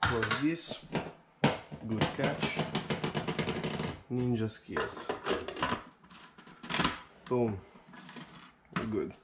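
Young man, upbeat kitchen chatter, head-mounted camera, home kitchen, clear background noise. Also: mid-range voice, animated, very slow, long pauses. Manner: chatty, upbeat, thinking aloud with fillers. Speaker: home cook vlogger. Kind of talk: casual cooking commentary. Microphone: head-mounted action camera.

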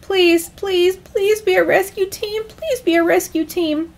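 A woman's voice: extremely high pitch, unhurried, pitched above the performer's own voice. A woman voicing a nervous, pleading young man in a storybook tale, with a whiny manner. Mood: desperate pleading. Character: panicked, pleading young man trapped inside sea lion. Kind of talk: acted storytelling dialogue.

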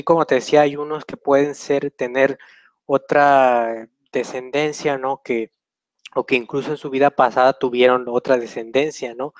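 A man's voice: mid-range voice, no room sound. A young man hosting a casual question-and-answer session over a video call from a small room, rambling and hesitant with many fillers. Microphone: webcam on a video call.

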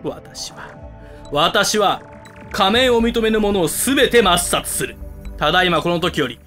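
A man's voice: sits high, animated, a little slow, pushed and bright, soft background music, exaggerated voice. A man playing a theatrical, fanatical school club president turned villain in an amateur voice drama, grandiose and melodramatic. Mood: vengeful, grandiose.

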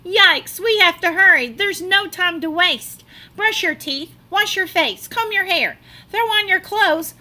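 A middle-aged woman reads a children's picture book aloud like a teacher, dramatically and expressively, drawing out words. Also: very high-pitched. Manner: animated, dramatic delivery with drawn-out words. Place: small classroom, indoors.

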